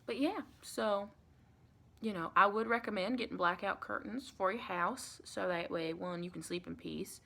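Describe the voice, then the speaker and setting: Casting: woman to play sweet, rambling American vampire lady, ASMR roleplay, medium pitch with plenty of vocal fry. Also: moderate pace, pushed and bright. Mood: chatty, reassuring. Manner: chatty, rambling, reassuring, calls listener sweetie. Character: sweet, reassuring female vampire welcoming a new recruit.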